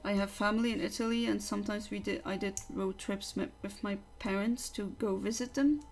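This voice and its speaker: Young woman, livestream chatter, talkative, opinionated, middle pitch. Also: fairly even intonation, a little fast, creaky, darkish tone, soft background music. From Luxembourg. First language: Luxembourgish. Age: young adult. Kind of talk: casual livestream chat.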